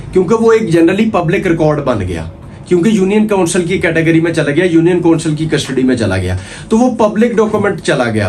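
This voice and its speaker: A man's voice: sits high, darkish tone. A man with a Pakistani accent giving an informal law lecture, explaining step by step with repetition, frequent check-in tags and English terms mixed in. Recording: noticeable background noise.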